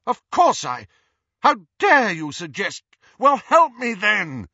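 A man's voice: mid-range voice, very animated, a little slow, a bit bright, slightly rough, no echo, lo-fi sound, pitched above the performer's own voice, full character voice. A man voicing a proud, cold young woman ghost in an audiobook, with a stiff, disdainful and guarded manner. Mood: flustered, indignant. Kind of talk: audiobook character voice.

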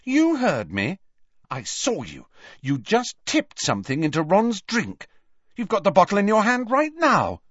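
Man voicing a bossy, high-pitched schoolgirl. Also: huge pitch swings, fast talker, hardly any pauses, pushed and bright, breathy edge, no room sound, highs cut off, heavily acted. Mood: alarmed, accusing. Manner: urgent, scolding. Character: bossy, indignant teenage schoolgirl, earnest and sharp.

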